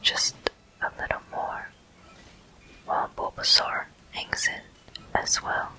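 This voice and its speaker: Very slow, breathy ASMR whisper. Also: low-quality recording. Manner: hushed, unhurried, gently explanatory, pausing between short phrases. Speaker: gameplay host. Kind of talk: whispered ASMR game walkthrough commentary.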